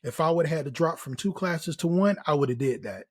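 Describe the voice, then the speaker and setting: An American man in middle age with a slightly high voice for a man. He speaks in a casual, motivational way, like a mentor hosting a vlog. His delivery is encouraging and emphatic, circling back to key phrases. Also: expressive, fairly quick, slightly rough. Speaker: host, nursing career mentor. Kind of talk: casual motivational advice to camera.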